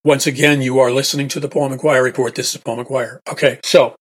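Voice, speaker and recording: A man hosting his own talk-radio show, with a husky, hoarse throat. He preaches in an emphatic, repetitive way and restates his points. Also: mid-range voice, fairly even intonation, brisk.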